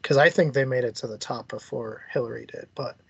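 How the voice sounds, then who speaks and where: Guy hosting a chatty interview, medium pitch, dry kinda dull audio. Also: fairly quick, gravelly, husky, no room sound. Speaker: interview host. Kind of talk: casual conversation.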